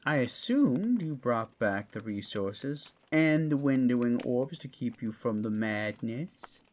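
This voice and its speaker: An eccentric old-world fantasy lord as acted for an audio roleplay, his pitch swooping widely as he chatters. He is genial and courtly, with a mischievous theatrical flourish. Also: lively intonation, slow pace. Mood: courteous.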